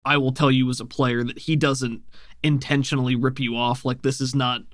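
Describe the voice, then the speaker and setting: A man's voice: medium pitch, flat delivery, normal speed, no room sound, studio quality. A man acting as game master, narrating a tabletop game and explaining rules in a casual, conversational way.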